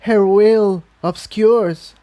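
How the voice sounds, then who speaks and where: Man voicing an elderly mare, pitched high. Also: fairly even intonation, very slow, mellow. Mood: insistent. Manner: exclaiming, matter-of-fact, addresses listener as dear. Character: elderly female pony, brisk, insistent, faintly eerie.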